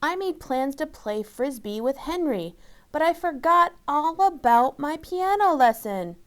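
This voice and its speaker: A woman's voice: high voice, lively intonation, measured pace, pitched above the performer's own voice. A woman voicing an eager, earnest young girl in a children's story, with a childlike manner.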